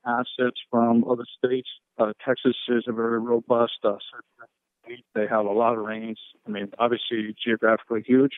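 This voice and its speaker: A man speaking as a fire and rescue official, answering questions on a conference call in measured, procedural language full of operational jargon. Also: mid-range voice, no echo, phone-quality sound.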